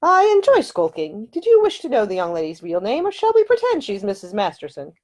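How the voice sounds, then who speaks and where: A woman's voice: mid-range voice, wildly swooping pitch, fairly quick, a little mellow, voice pushed up. A woman voicing a sly, teasing young nobleman in a historical audiobook. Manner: teasing, wry.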